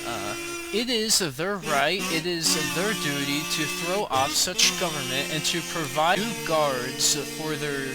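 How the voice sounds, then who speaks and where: An amateur reader of unclear sex reads a formal historical document aloud, haltingly, stumbling over long words and losing their place. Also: mid-range voice, rough recording. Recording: loud music behind the voice. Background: loud instrumental music.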